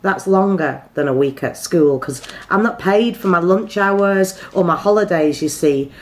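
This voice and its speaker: A middle-aged British woman performs a rhyming spoken-word poem as a slam poet, in a measured, rhythmic delivery with deliberate pauses. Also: on the low side.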